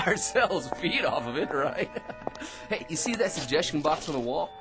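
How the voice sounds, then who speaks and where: A man's voice: mid-range voice, close to an everyday voice. An actor playing a long-winded, argumentative office bore in his forties who prattles, repeats himself and lectures in a radio drama. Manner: pushy, prattling, chuckles at his own points, repeats himself.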